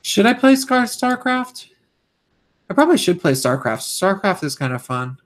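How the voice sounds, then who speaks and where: Young man, hoarse, rambling streamer monologue, a bit higher-pitched than most men. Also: very animated, normal speed. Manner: rambling, full of fillers and restarts. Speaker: YouTuber live-streaming. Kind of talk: casual live-stream rant.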